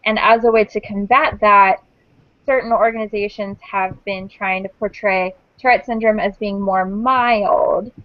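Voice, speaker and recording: A woman in her twenties hosting a casual group chat, explaining things in a measured way with small restarts. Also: mid-range voice, fairly even intonation, no room sound. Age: 20s. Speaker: host of a panel discussion. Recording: dull, dry lo-fi sound.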